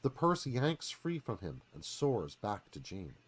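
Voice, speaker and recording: Animated male screenplay narrator. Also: fairly low pitch, unhurried. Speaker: narrator reading stage directions. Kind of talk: dramatic scripted narration.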